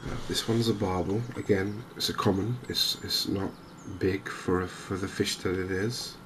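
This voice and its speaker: A man speaks softly and low, taking his time as he commentates on a game in an ASMR style. His phrasing is measured and hesitant, with drawn-out gaps. Clear background noise runs under the voice. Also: fairly even intonation. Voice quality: soft-spoken. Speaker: gaming video host.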